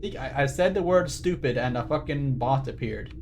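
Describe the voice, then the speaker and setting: A man's voice: mid-range voice, normal speed, darkish tone, a little hiss or hum. Self-mocking rambling male streamer. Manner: reads game text aloud. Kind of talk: casual let's-play commentary. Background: game music.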